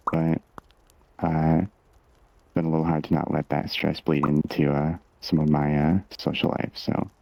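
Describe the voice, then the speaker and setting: A man co-hosting a livestream, chatting casually with dry, deadpan banter. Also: rumbling low, vocal fry, no room sound, low-quality recording. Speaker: co-host.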